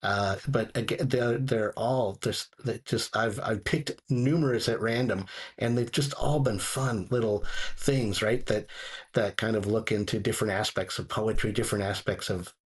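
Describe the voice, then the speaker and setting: A man with a slightly husky voice chatting casually as a podcast guest, in a halting way that often repeats and restarts phrases. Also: fairly low pitch, steady tone. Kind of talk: casual chat, recommending audio dramas.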